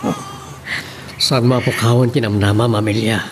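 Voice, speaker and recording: A man with a Filipino accent playing a gentle, pious, reassuring father in an audio melodrama. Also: fairly low pitch, fairly even intonation, moderate pace, slight background noise, close to an everyday voice. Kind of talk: Filipino radio-style melodrama acting.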